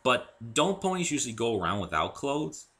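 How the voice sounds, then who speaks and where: A man's voice: medium pitch, very animated, a little fast, pushed and bright, pitched above the performer's own voice. A man playing a polite, slightly awkward human hero in a story reading, casual and a little wary. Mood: wary, curious.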